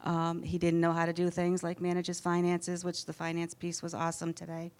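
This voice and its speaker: A woman's voice: lowish voice, completely flat delivery, a little fast, darkish tone, clean tone. A middle-aged woman introducing herself on a conference panel, heartfelt, with frequent fillers. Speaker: corporate panelist. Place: conference panel in front of an audience.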